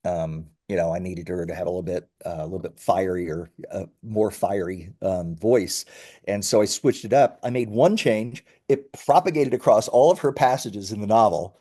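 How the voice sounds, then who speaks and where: A man's voice: deep voice, moderate pace, dark tone. A man speaking as an interviewed founder, explaining his product in an enthusiastic, emphatic, conversational way. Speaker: startup founder being interviewed.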